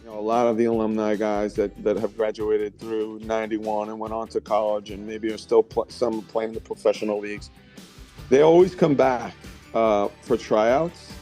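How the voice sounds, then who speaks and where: Even-toned middle-aged man in interview. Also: on the low side, moderate pace, faint music behind. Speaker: youth club coach and founder. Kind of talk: casual interview soundbite.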